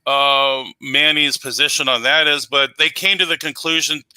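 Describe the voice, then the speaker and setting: A man's voice: mid-range voice, steady tone, moderate pace, pushed and bright, clear, resonant tone, no room sound, low-quality recording. A man in an online debate, giving an opening statement and reading out research citations.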